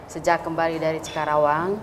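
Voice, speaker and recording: A woman interview guest with a hoarse, fairly low voice. She feels her way through answers hesitantly, with clear noise behind her. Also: flat delivery, a little fast. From Gorontalo, Indonesia. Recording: clearly noisy background.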